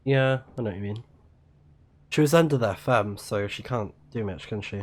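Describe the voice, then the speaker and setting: A woman's voice: rumbling low, moderate pace, darkish tone. A woman game streamer giving casual live commentary and reading on-screen text aloud.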